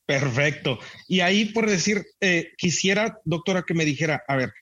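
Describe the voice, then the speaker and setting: A man's voice: a little high, lively intonation, normal speed, fairly bright tone, husky, no room sound. A man, an interview host from Mexico, asks long, rambling questions with many repetitions. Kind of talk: interview conversation. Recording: dull, dry lo-fi audio.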